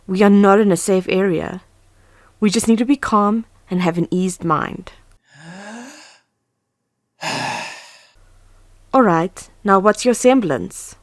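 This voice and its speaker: A woman's voice: mid-range voice, no echo. A woman playing an earnest, anxious but composed young huntress in a fan audio drama. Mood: nervous, curious. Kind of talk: fan audio drama, first-person narration and dialogue. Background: background music.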